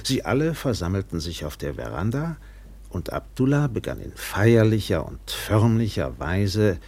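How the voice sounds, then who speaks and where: A weathered steamship captain in a radio play. His voice is very low and husky, and he speaks with widely swinging intonation, like a practised literary storyteller. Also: heavily acted. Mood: measured, reflective. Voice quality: gravelly, husky. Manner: expressive, measured literary storytelling with acted dialogue. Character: weathered steamship captain recounting a colonial tale. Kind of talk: German radio play narration and dialogue.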